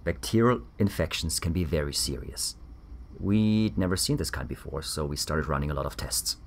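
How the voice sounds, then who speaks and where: An actor as a deep-voiced, weary army doctor. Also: male voice, expressive, moderate pace, slight background noise. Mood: grave, measured. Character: weary, defensive male German ex-army doctor on Mars. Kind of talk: dramatic interview-style audio drama.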